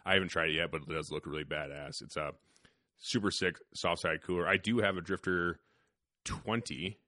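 Deep bright voiced guy hosting a podcast, swears a lot, rambly, dry close mic. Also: normal speed, no echo. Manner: profane, rambling, laughs often. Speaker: podcast host. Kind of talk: casual podcast chat and ad read. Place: hunting cabin.

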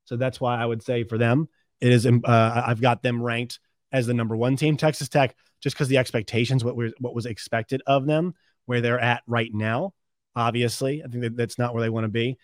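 A man's voice: mid-range voice, flat delivery, fairly quick, no echo, studio quality. A man hosting a sports podcast, giving unscripted commentary in a conversational way, thinking aloud and correcting himself as he goes.

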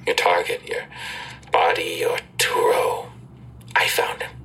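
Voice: low voice, completely flat delivery, takes it slowly, creaky, a bit bright, a little hiss or hum, exaggerated voice. An actor voicing a sinister internet ghost that talks like a formal online market chatbot, heard through a computer speaker in an audio drama. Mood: ominous, formal. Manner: formal, scripted, repetitive customer-service phrasing. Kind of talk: full-cast audio drama acting.

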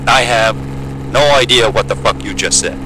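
A man's voice: on the low side, moderate pace, natural voice. Wry helicopter pilot played by a man, engine roaring. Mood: wry, skeptical. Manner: plain-spoken, joking, laid-back. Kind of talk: naturalistic audio drama dialogue. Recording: loud engine hum of a helicopter cabin.

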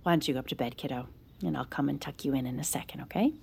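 A woman's voice: extremely low pitch, rapid-fire, creaky, a little mellow, husky, exaggerated voice. An actress playing a worried, protective sister and mother in naturalistic, earnest audio drama dialogue. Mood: gentle. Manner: earnest, cautioning.

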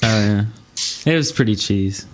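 A man's voice: lowish voice, animated, measured pace. A man in casual podcast chat as a host, with an easygoing, slangy way of talking. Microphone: Skype call.

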